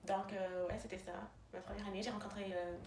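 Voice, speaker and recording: A woman's voice: mid-range voice, level tone, a little fast, a little mellow, echoey room, a little hiss or hum. A young woman, not a native French speaker, chatting casually about student life with many fillers and restarts. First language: neither French nor English. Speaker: roommate answering questions. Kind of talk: casual chat.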